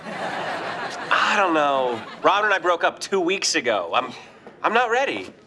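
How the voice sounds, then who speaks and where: A man's voice: a little high, sing-song, measured pace, pushed and bright, a little hiss or hum, exaggerated voice. An actor playing a sulky, jealous young American man fresh from a breakup, indignant and sarcastic in a sitcom. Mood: reluctant. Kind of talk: sitcom acting.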